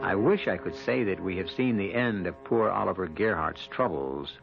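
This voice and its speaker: A muffled vintage radio recording with music behind a very deep, gravelly storyteller. He narrates a mystery with grave, wry, measured and ominous literary phrasing. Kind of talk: dramatic scripted radio narration. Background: dramatic music.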